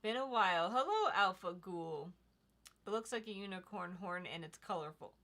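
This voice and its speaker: Bright-voiced woman chatting on a livestream. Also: medium pitch, moderate pace. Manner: relaxed, chatty, reads viewer comments aloud. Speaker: livestream co-host reading chat. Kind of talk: casual livestream chat.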